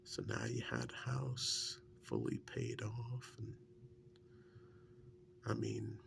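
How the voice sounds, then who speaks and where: A man playing a fondly reminiscing, teasing male partner and former captive in an ASMR role-play, in a breathy half-whisper with gravelly fry and a halting, conversational delivery. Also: fairly low pitch, big pitch swings, noisy background, full character voice. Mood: teasing, intimate. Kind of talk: soft-spoken ASMR role-play monologue.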